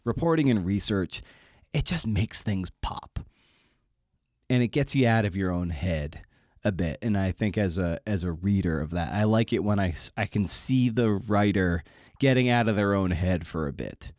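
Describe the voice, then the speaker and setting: A man's voice: deep voice, lively intonation, moderate pace, a little mellow, no room sound, studio quality. A man hosting a podcast in a casual, rambling monologue, with informal, slangy, self-deprecating phrasing. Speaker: podcast host.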